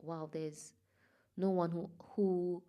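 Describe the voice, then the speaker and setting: A woman from Trinidad and Tobago, a guest in an interview, talking softly and reflectively with many pauses and fillers. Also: lowish voice, steady tone, muffled recording. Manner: soft, reflective, halting with fillers. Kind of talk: personal interview.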